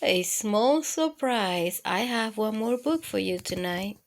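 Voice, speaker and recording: A woman's voice: mid-range voice, expressive, slow, creaky. A woman hosting a children's storytime, reading picture books aloud. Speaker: children's storytime host and reader. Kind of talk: read-aloud children's picture book narration.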